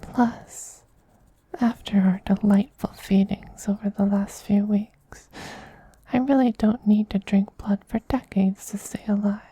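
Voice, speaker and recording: A man playing a soothing, possessive femboy vampire queen in a soft, breathy whispered ASMR roleplay, slow and tender. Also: high-pitched, fairly even intonation, no room sound, poor audio. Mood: tender, gently teasing. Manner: slow, tender, coaxing endearments.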